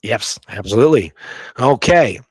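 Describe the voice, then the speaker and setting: A man's voice: on the low side, animated, moderate pace, darkish tone, husky, no echo. Male history show co-host, close dry sound. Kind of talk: conversational history discussion with read-aloud documents.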